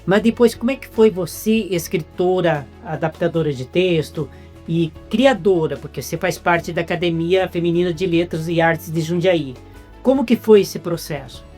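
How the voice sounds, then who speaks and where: A man's voice: fairly high pitch, moderate pace, darkish tone, soft background music. A man hosting a casual interview, asking chatty follow-up questions and praising his guest.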